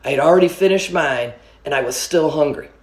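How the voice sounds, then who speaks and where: A woman reading a children's book aloud with an expressive storytelling manner. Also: low voice, brisk, reverberant. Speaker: story reader.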